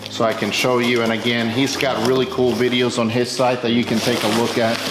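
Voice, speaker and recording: A man hosting a casual, unscripted how-to video, explaining gear in a conversational way as he unboxes it. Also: medium pitch. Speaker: video host demonstrating car accessory installation. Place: tight indoor space, roomy sound. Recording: roomy echo, music underneath.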